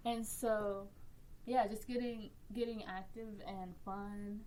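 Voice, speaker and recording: A woman's voice: mid-range voice, fairly even intonation, slow. A woman chatting casually as a podcast co-creator in a discussion. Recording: faint background noise and room ambience.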